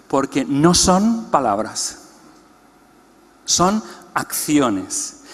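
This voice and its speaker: A man's voice: fairly high pitch, breathy edge. A man giving an explanatory teaching talk to a church congress, putting questions to the audience. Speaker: conference speaker. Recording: long hall reverb.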